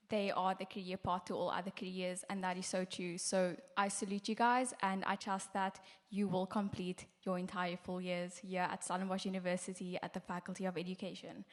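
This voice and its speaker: A woman's voice: medium pitch, flat delivery, moderate pace. A young woman, delivering an enthusiastic, encouraging welcome speech to first-year students. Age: young adult. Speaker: student committee speaker, master of ceremonies. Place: large lecture hall.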